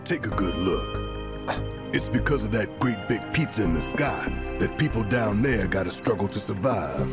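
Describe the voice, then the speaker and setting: Game role: huge fiery eco-militant, American, gruff fry, preachy, unhurried, over loud score. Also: male voice, deep voice. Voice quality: gruff, gravelly. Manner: bombastic, mocking, preachy. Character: big, burly, fiery, righteous male eco-militant. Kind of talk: video game voice acting. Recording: loud game music behind the voice.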